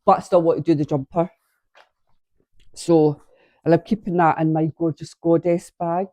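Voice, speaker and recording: A woman's voice: sits low, fairly even intonation, moderate pace, dark tone, dry recording. A woman chatting casually to camera at home about her knitting, a bit congested from sinus trouble, rambling and breaking off mid-sentence. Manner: chatty, rambling, self-interrupting. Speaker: knitting vlogger. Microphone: camera on a tripod.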